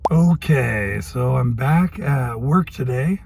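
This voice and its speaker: A man with a cold and a hoarse voice, rambling through a casual video diary and thinking aloud as he goes. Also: mid-range voice, animated, slow pace, a little mellow. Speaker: health vlogger.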